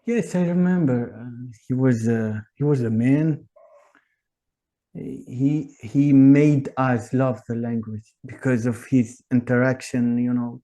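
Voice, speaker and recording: Slow, mellow-voiced Tunisian man. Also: mid-range voice. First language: Tunisian Arabic. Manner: hesitant, searching for words, many fillers. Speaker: guest.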